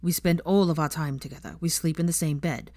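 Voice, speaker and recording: A woman voicing an anguished, angry young man in a dramatic audiobook, forceful, bitter and pleading. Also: sits low, steady tone, a little fast, no echo, clean studio sound, lightly acted. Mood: furious. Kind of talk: dramatic audiobook character acting.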